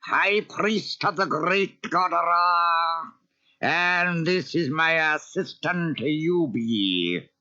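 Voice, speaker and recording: A man playing a solemn, menacing ancient Egyptian high priest with a strange booming voice in a cartoon audio story. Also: expressive, drawn-out words, sharp tone, exaggerated voice. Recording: dull lo-fi cassette transfer.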